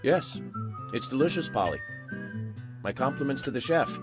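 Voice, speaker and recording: A man's voice: medium pitch, expressive, music in the background, lightly acted. Man acting courteous, composed detective. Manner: polite, measured, deliberate. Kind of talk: video game voice acting.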